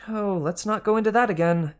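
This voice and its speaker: A reader voicing a scolding, bickering female snake with a drawn-out, chiding delivery in a storybook. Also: medium pitch, smooth, pitched above the performer's own voice, lightly acted.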